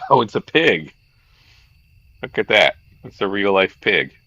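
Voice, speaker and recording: A man hosting a visual novel read-along, moving between narration and jokey, excitable, sweary commentary. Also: on the low side, measured pace, highs cut off. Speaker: streamer, host of a game read-along.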